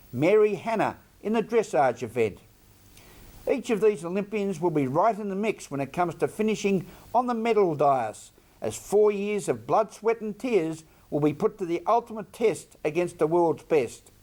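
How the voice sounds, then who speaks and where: A man's voice: high-pitched, a little mellow. A man with an Australian accent reading scripted local news as a presenter, in a formal, earnest delivery.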